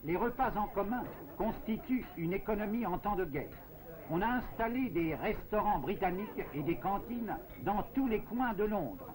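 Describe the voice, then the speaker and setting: High-pitched man narrating a 1940s newsreel. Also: animated, normal speed, a crowd in the background, poor audio. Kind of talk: 1940s propaganda film narration, scripted. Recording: 1940s film soundtrack with music. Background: music.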